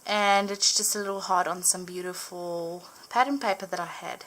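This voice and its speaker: A young woman whose first language is Afrikaans, chatting casually as she flips through her journaled Bible, full of little fillers and gentle enthusiasm. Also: lowish voice, steady tone, moderate pace, noisy background. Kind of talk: casual show-and-tell narration. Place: indoors at a table, hands over an open book.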